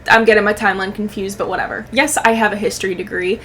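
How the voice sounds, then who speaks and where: A woman's voice: medium pitch, steady tone, quick pace, fairly bright tone. A woman in her twenties giving casual, sardonic, sweary comedic commentary as a YouTube host, with slight background noise. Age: 20s.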